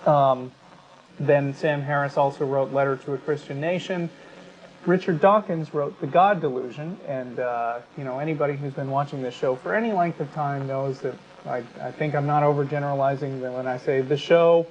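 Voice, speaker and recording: A man co-hosting an unscripted talk show, explaining his views in a measured, halting way. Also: medium pitch. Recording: dull lo-fi sound with clear background noise.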